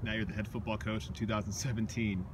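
A man, a newspaper sports reporter putting brisk, friendly questions to a coach outdoors. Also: lowish voice, little pitch movement. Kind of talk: interview questions. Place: outdoors by a school stadium. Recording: outdoor noise and passing traffic.

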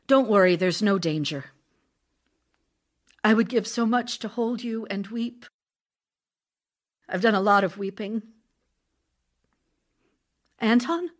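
A woman's voice: mid-range voice, natural voice. A woman playing an ailing, lonely actress wife in an audio drama of love letters, speaking in a theatrical, confiding way. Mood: weary, longing.

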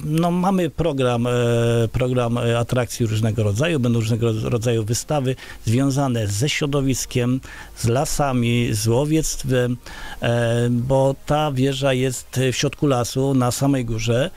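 A man's voice: medium pitch, a little slow, a little mellow. A middle-aged man from Poland, a local village head, answering interview questions in a radio studio with many filler sounds and restarts. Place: small radio studio, indoors. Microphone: large studio microphone on a boom arm.

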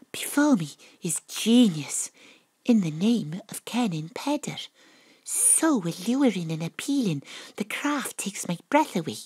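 Here is a female private detective, wry and self-mocking, telling her case in an audio drama. Her voice is husky and recorded very dry, and she relishes every word with theatrical flair. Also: on the low side, darkish tone, no echo. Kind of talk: first-person detective audio drama narration and dialogue.

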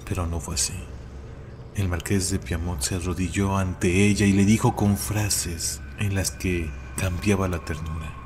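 Guy with a low voice telling old horror legends, solemn and dramatic, noisy ambience behind him. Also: fairly even intonation, measured pace, a little mellow. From Mexico. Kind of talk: scripted storytelling narration.

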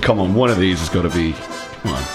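A man's voice: low-pitched, lively intonation, brisk. A man playing a tabletop role-playing game, chatting casually and jokingly with the table. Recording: music behind the voice.

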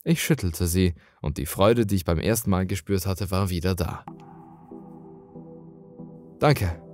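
A man's voice: low-pitched, moderate pace, darkish tone, soft background music. A man reading a scripted first-person horror story as a dramatic narrator.

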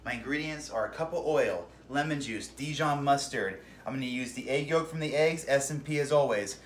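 A man's voice: mid-range voice, brisk. A man in his thirties cooks in a small home kitchen and narrates each step, cracking jokes and swearing. His voice sits in a middle range, picked up by a camera mic a few feet off, and it bounces around the room with a faint hum of ambience behind it. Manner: jokey, swears, keeps saying "freaking". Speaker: home cooking show host. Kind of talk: goofy cooking demonstration. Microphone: camera mic from a few feet away. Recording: roomy kitchen echo.

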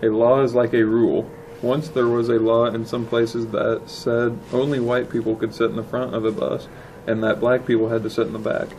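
A man reading a children's book aloud in a plain, measured manner. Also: medium pitch, level tone, darkish tone, low-quality recording. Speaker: storybook reader. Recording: faint background noise.

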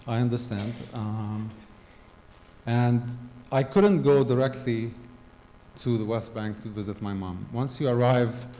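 A middle-aged man from Gaza telling a personal story to a conference audience into a handheld mic, halting with frequent ums and restarts. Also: medium pitch, fairly even intonation, mellow. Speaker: conference speaker. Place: large hall with a stage. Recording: long hall reverb, faint ambience.

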